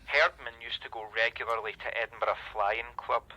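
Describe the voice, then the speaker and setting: Sharp-toned male inspector on a muffled line. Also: mid-range voice, steady tone, normal speed. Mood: hesitant, confiding. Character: hesitant, confiding male police inspector. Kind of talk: radio drama acting.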